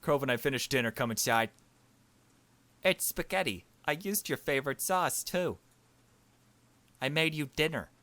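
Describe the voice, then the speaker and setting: A man voicing a gentle, mildly exasperated young woman from a visual novel. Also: a little high, moderate pace, long pauses, no room sound, pitched above the performer's own voice. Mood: coaxing. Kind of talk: visual novel character reading.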